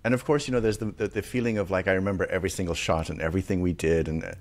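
A middle-aged man hosting an interview, recalling a past film project in a reflective, halting way. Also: deep voice, steady tone. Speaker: host, filmmaker. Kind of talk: conversational interview.

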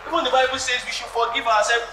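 Voiced by a man, a jokey young Nigerian friend who coaxes and calms the others, his voice very high. He is heard from afar in an echoey hall over noise. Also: very fast. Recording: echoey hall, far camera mic, noise.

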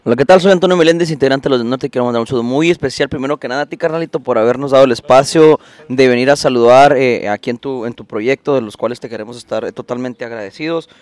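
A band member, a man, talking fast and warmly sending shout-outs during a casual group interview. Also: mid-range voice, no echo. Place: outdoor garden patio.

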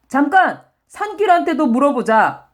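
A woman's voice: sits high, big pitch swings, moderate pace, pitched above the performer's own voice. A woman voicing an earnest, indignant male traveler in a children's storybook, with exclamatory, old-fashioned phrasing. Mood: indignant, alarmed.

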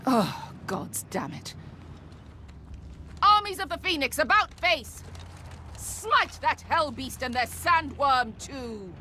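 A woman voicing a fiery, combative fantasy warlord in a video game, declaiming forcefully. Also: sits high, animated, slow pace, pauses often, noisy background. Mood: commanding.

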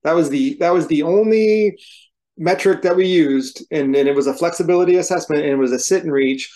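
A man in his forties, host of a firefighter fitness show, speaking in a measured, articulate way on a webcam call from home. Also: a little high, mellow. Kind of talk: casual conversational explanation. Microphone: video call webcam.

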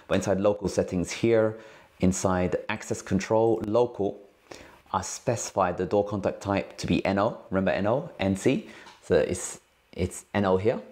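A man's voice: low-pitched, a little mellow. A man hosting a tech tutorial in a casual, informal, slangy way, explaining things step by step. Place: indoors at a cluttered work desk.

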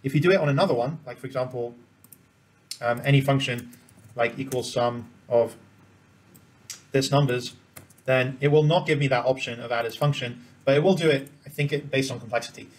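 A man presents an informal software tutorial in a medium-pitched voice. He talks at a brisk clip, walking through each step as he demonstrates it on screen. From Cambodia. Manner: explains step by step while demonstrating.

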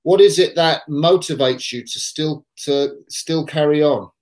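A man's voice: a little high, a little slow. An older man in his seventies hosting an interview over video call, asking simple, patient questions. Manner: warm encouraging questions, rephrasing them simply. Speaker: interview host. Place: room at home.

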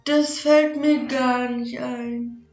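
A woman's voice: high voice, fairly even intonation, drawn-out words, a little mellow, voice pushed up, heavily acted. A woman voicing a lazy, snooty young girl in a fairy tale, scornful, with a whiny drawl. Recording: echoey, dull lo-fi, music behind.

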